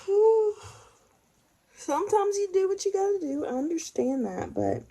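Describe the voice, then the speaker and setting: An expectant mother chatting casually at home in a home vlog, leaning on "like" as she talks, sometimes speaking softly to her unborn baby. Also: extremely high pitch, very animated, unhurried. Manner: chatty, leans on "like" a lot.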